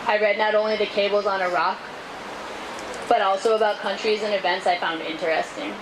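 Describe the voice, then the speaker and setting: A woman giving a measured, earnest reading of prepared testimony aloud at an outdoor rally. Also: medium pitch, fairly even intonation. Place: outdoors at a city street rally. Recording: noisy outdoor street recording.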